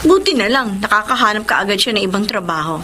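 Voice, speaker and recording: A woman's voice: medium pitch, very animated, fast, sharp tone, slight background noise. A woman playing a bubbly, cheerfully nosy friend in a Filipino radio drama, gushing and teasing. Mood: chatty, teasing.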